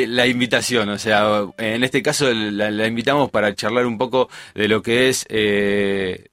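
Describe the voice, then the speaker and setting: A man with a Rioplatense Spanish accent hosts an informal live radio interview, feeling his way through questions with halting, hesitant phrasing. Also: on the low side, fairly bright tone. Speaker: radio host. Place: radio studio.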